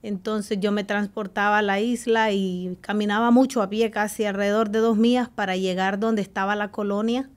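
A woman's voice: on the high side, darkish tone. A middle-aged woman from El Salvador with a Salvadoran accent, telling her own life story as an interview guest in a studio. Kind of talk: recounting personal life in conversation. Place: small radio studio. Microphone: close desk microphone with headphones.

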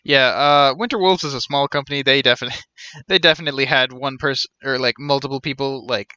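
Bright-voiced, wry man streaming games. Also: medium pitch, quick pace, no echo, lo-fi sound. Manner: joking, wry. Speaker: game streamer. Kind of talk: casual live game commentary. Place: small indoor room, seated.

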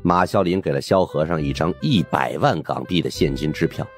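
A man's voice: extremely low pitch, fairly quick, faint music behind. A man narrating an audiobook as dramatic, scripted storytelling.

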